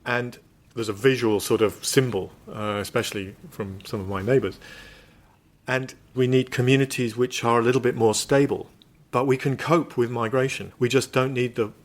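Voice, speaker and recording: A man speaking in a thoughtful, measured way about his street and community in an interview. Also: on the low side. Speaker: interviewee, local resident. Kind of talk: reflective interview talk.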